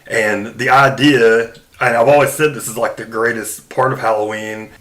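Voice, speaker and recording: A man's voice: mid-range voice, fairly even intonation, moderate pace, fairly bright tone. A man hosting a podcast, talking casually and emphatically in an informal, conversational way. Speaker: podcast host. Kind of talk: casual film discussion.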